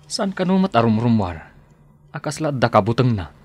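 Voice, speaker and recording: A man's voice: mid-range voice, sing-song, moderate pace, a little mellow. A man with an Ilocano accent playing a level-headed, wary family man in a radio drama, plain and questioning. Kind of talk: Filipino radio drama acting.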